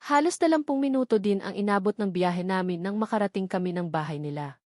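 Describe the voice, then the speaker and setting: A woman's voice: medium pitch, a little fast, a little mellow, no room sound, clean studio sound. A woman reading a first-person romance novel as a scripted audiobook narrator.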